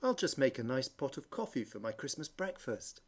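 A woman voicing a kindly, thoughtful old male shoemaker in a storybook, with a husky voice. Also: a bass voice, very animated, moderate pace, voice pushed down, heavily acted. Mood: cheerful. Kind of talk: storybook character reading.

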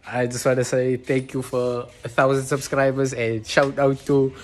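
A man's voice: mid-range voice, level tone, normal speed, a little mellow. A young South African man vlogging casually in his home garage, laughing a lot and tossing in slang. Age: young adult. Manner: laughing often, slangy, says bruh a lot. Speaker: car vlogger.

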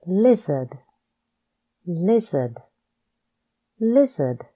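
A woman English teacher reading scripted pronunciation practice with deliberate, careful enunciation. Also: fairly low pitch, sing-song, warm tone, slightly rough. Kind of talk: scripted lesson narration, reading words for practice.

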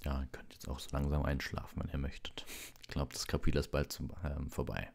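A man narrating a storybook as a relaxed bedtime audiobook reader. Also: very deep voice, brisk, gravelly.

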